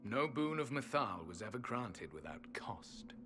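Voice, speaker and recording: An actor playing a solemn ancient elven temple guardian in a fantasy game, with grave, formal, archaic speech. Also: male voice, mid-range voice, sing-song, unhurried. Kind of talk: video game fantasy voice acting. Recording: music behind the voice.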